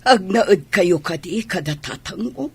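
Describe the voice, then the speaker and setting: Babaeng gumaganap na paos at matandang biyenan. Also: female voice, mid-range voice, expressive, a little slow, husky, full character voice. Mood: worried. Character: pleading elderly mother-in-law, a doting grandmother. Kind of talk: Ilocano audio drama acting.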